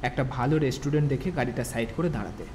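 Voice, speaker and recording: A man's voice: mid-range voice, fast, darkish tone, slight background noise. A man narrating a scripted audio story.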